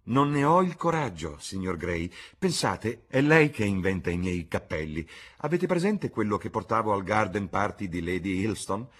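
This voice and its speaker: Man acting out a coquettish duchess, lively swooping pitch. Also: moderate pace. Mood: playful complaint. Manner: arch, teasing society talk. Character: flirtatious, witty aristocratic duchess, playfully coquettish.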